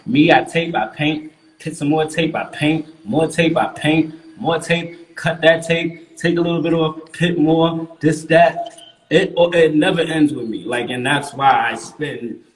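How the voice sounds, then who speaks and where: A man's voice: fairly high pitch, steady tone, unhurried, roomy sound, poor audio. A man from Queens, a guest artist talking casually and emphatically, repeating himself and using street slang. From Queens, New York. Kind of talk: casual interview chat.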